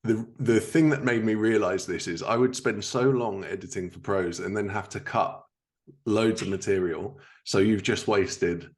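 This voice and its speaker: A man's voice: on the low side, moderate pace. A man hosting a podcast, chatting casually as he interviews a guest. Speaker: podcast host, editor.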